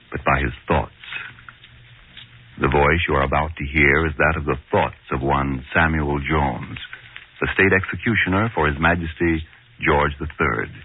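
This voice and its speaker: Very deep solemn male radio announcer. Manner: grave, deliberate. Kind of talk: solemn 1940s radio drama introduction. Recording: tinny, noisy 1940s radio recording.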